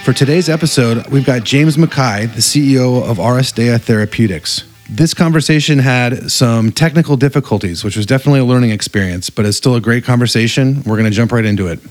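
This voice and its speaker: A man speaks as the host of a podcast in a casual, conversational style. His voice is mid-range in pitch, with some faint guitar music around it. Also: a little fast, hardly any pauses, darkish tone, dry recording.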